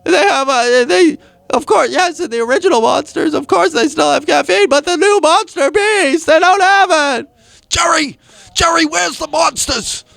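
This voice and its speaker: Panicked young man, shrill and shouting, put on by a man, dry close sound. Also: moderate pace, gravelly, no echo, exaggerated voice. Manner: shouting in a strained high falsetto. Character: panicked shrieking young man, frantic and alarmed.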